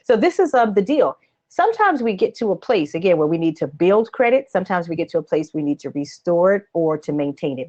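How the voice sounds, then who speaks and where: A woman in her late forties hosting a live advice webcast from a home office, answering questions in a chatty, animated, conversational way. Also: on the low side. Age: late 40s. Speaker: host, credit coach. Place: small home office, indoors. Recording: dull lo-fi webcam audio.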